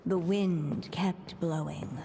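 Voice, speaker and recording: A mellow female storybook narrator, slightly low and very unhurried. She is calm and wistful, with long reverb around her measured telling. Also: vocal fry, a little hiss or hum. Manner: measured, literary. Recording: long reverb, faint music behind.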